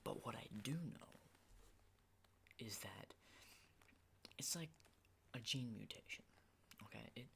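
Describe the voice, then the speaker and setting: A man playing an unhinged, menacing survivor of a zombie apocalypse in a halting, intimate ASMR roleplay, in breathy whispers. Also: expressive, sharp tone, slight background noise, full character voice. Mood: hushed, explaining. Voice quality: breathy whisper with low creaky undertones.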